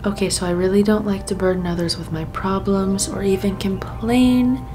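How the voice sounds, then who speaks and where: A young woman talks through her vlog in a relaxed, chatty voice, candid and upbeat even about hard things. Her voice sits at an ordinary pitch, and background music plays clearly under her narration. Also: moderate pace. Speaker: vlogger.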